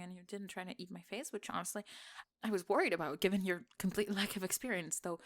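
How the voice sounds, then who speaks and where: A woman playing a bossy, secretly smitten young tomboy best friend in an intimate ASMR roleplay, teasing and defensive, with halting, stammering delivery. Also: mid-range voice, close to an everyday voice. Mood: flustered, defensive, then defensive, bashful.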